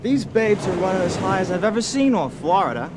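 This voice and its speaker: Jumpy surfer Shorty. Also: male voice, high voice, very animated, a little fast, noisy background. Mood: casual. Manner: nervous, rising to panic. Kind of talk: 1970s radio drama acting. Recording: 1970s record with music under.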